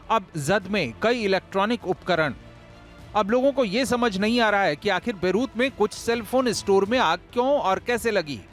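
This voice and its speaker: High-pitched man narrating dramatic news reports. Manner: emphatic, dramatic delivery. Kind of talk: scripted dramatic news voice-over.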